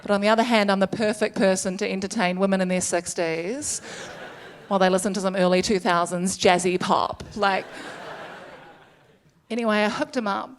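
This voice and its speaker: A woman's voice: medium pitch, fairly even intonation. Stand-up comic, woman, thirties, New Zealand accent, chatty self-deprecating delivery, mid-range voice. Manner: chatty, self-deprecating, quick asides with laughter. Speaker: stand-up comedian. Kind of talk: stand-up comedy set. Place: comedy stage before a live audience.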